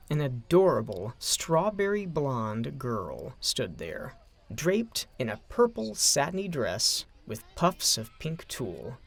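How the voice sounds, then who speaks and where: Story narrator, male: expressive delivery with vocal fry, very dry recording. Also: medium pitch, no room sound.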